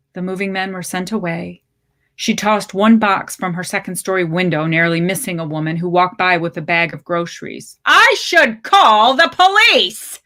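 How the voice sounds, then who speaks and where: A woman narrates a literary short story in a slightly low voice. She phrases deliberately, giving each clause its own measured space. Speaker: story reader.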